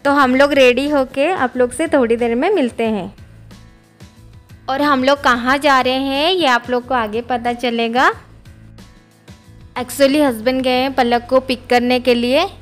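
A woman's voice: high voice, steady tone, a little slow, soft background music. A woman in her thirties chatting casually in a family vlog, mixing in English words, filmed on a phone held at arm's length. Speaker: family vlogger. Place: home and on the road, train station.

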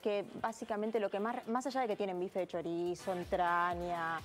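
A woman's voice: medium pitch, little pitch movement, moderate pace, faint music behind. A young woman with an Argentine Rioplatense accent giving a chatty, enthusiastic restaurant review in a casual TV conversation. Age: young adult. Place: TV studio, indoors.

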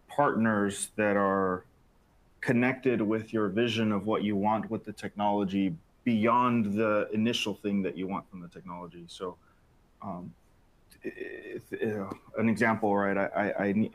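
A man's voice: on the low side, fairly even intonation, measured pace. Man explaining with many restarts. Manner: restarts and repeats words while explaining. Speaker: factory expert panelist. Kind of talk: panel discussion. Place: bright open factory studio set. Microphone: lapel mic.

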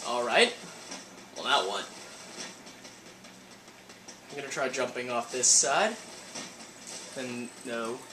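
A game player giving casual let's play commentary, thinking aloud and exclaiming in an animated way. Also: mid-range voice, slow pace, pauses often, noisy background. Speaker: gaming video host. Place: indoor room, playing in front of TV. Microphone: camera mic filming a TV screen. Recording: roomy camera recording with game music. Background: video game music.

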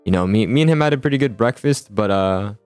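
A man's voice: on the low side, expressive, fast talker, a little mellow, clear, resonant tone, dry recording. A young man chatting casually in an improvised game roleplay, rambling and repeating his phrases with stammering starts. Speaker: host of a gaming roleplay. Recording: music bed under the voice. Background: background music.

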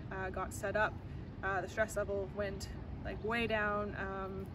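A young Canadian woman talks through interview answers, loose and chatty with lots of "um" and "really." She stands a few meters from the camera outside, so her voice sounds distant. Loud traffic and street noise run underneath. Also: medium pitch, little pitch movement, unhurried. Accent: Canadian English. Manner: chatty, many fillers and repeated "really". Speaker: interviewee, law student. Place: outdoors on a campus plaza by a glass building. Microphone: camera mic from a few meters away. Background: outdoor traffic noise.

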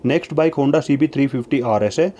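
Fast, mellow man reading price lists. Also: mid-range voice, slight background noise. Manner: steady, even list reading in set formulas. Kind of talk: scripted voice-over reading prices. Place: voice-over over price slides.

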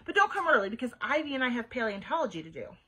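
A woman voicing a stubborn, hot-tempered young girl, loud and emphatic, in a storybook read-aloud. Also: fairly high pitch, big pitch swings, racing, slightly rough, heavily acted. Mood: loud, defiant.

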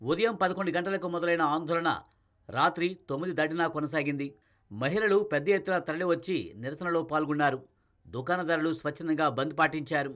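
A man reading a scripted television news voice-over in a brisk, dramatic delivery. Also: a little high, a bit bright. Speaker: news narrator.

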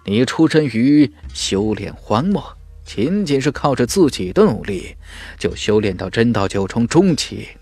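A man's voice: mid-range voice, very animated, measured pace, darkish tone, no room sound, soft background music. A man voicing a genial, well-informed young male warrior in an audiobook, explaining things affably.

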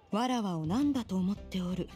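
A woman voicing an ancient crane spirit in human form, dignified and calm, talking in an archaic, haughty manner. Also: medium pitch. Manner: archaic, haughty, self-styled warawa. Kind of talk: Japanese game dub. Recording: music under the dialogue.

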